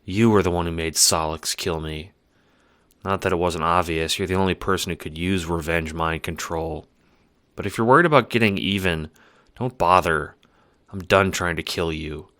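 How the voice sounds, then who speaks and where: A man voicing a calm, weary troll girl who died, speaking in a flat, deadpan way in a fan audio drama. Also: deep voice, moderate pace, no room sound, clean studio sound.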